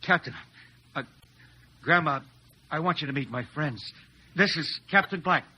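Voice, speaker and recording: An actor playing an eager, emotional young American lieutenant, earnest and stammering, in 1950s radio drama style. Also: male voice, on the high side, unhurried, sharp tone, breathy edge, full character voice. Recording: muffled 1950s radio recording.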